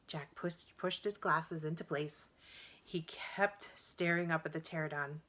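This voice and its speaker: Female storybook narrator, lower-pitched, expressive and dramatic, reading for children. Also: a little slow. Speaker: storybook reader.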